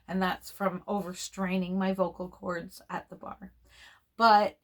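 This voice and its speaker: A woman in her fifties with a hoarse, froggy, strained voice, chatting casually in a rambling way and laughing often, speaking off camera at home. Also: fairly low pitch, level tone, unhurried. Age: 50s. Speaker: reborn doll vlogger.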